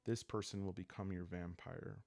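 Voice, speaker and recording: Very low, creaky, calm man. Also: no echo. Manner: hesitant, musing, unhurried. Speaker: host of a solo roleplaying playthrough. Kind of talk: relaxed ASMR game narration, thinking aloud.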